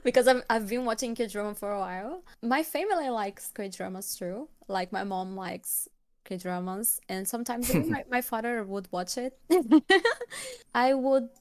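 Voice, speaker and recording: Halting woman, Brazilian Portuguese accent. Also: fairly high pitch, expressive. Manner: halting, searches for words, many restarts. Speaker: guest, K-pop cover singer. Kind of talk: casual interview chat.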